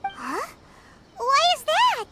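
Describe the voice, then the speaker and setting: A woman voicing a tiny, excitable childlike fairy companion in a video game, squeaky and emphatic, with music behind her. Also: drawn-out words, full character voice. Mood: curious, earnest.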